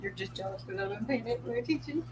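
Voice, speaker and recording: A young woman co-hosting a casual livestream, relaxed and agreeable, chuckling now and then. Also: medium pitch, fast, a little hiss or hum, low-quality recording. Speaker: co-host, host's wife.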